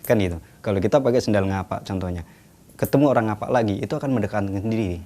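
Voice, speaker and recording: A man from Central Java with a Banyumasan accent, answering interview questions in a relaxed, conversational way. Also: on the low side, fast. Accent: Banyumasan Javanese.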